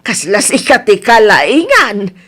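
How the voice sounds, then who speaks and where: A woman's voice: mid-range voice, very animated, slow pace, a bit bright, full character voice. A woman with an Ilocano Filipino accent acting a frank, reasonable neighbor in a radio drama. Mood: reproving. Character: frank, reasonable female neighbor who reproves her gossiping friend.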